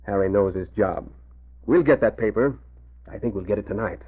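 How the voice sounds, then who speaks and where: A man playing a smug, calculating racketeer who speaks in an unhurried way, in 1940s radio acting. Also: fairly low pitch, husky. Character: scheming male racketeer, smug and cold. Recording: tinny old radio broadcast.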